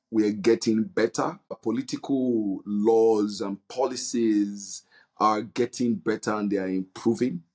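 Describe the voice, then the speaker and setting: The invited guest on a Nigerian talk programme, a man with a low, husky voice, earnestly feeling his way through each answer. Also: darkish tone. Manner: hesitant, searching for words, earnest. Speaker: interview guest, non-profit leader. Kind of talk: interview answer.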